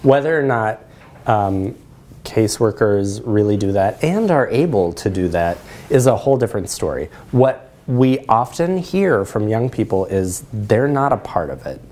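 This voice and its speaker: A man's voice: fairly low pitch, sing-song, normal speed, darkish tone. A man around forty with an American accent, explaining things as a presenter taking questions in a lecture room.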